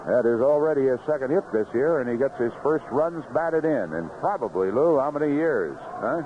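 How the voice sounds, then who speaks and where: A man's voice: mid-range voice, lively intonation, moderate pace. An American man calling live baseball play-by-play on the radio. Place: ballpark broadcast booth. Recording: noisy, muffled vintage AM radio broadcast.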